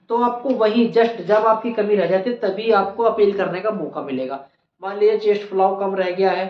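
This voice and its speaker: A channel host giving an explainer on exam procedure, repeating key points for emphasis. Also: medium pitch. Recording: echoey room, dull lo-fi, faint noise.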